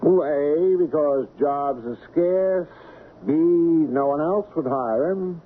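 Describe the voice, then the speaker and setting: An actor playing a guarded, genteel shipping boss in an old radio mystery, formal, deliberate and halting. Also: male voice, fairly high pitch. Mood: smooth, insinuating. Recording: narrow, noisy old radio broadcast.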